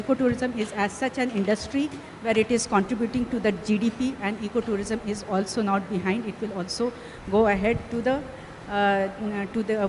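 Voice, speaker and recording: A woman with an Indian English accent giving halting panel remarks with frequent fillers. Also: mid-range voice, low-quality recording. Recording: noticeable background noise.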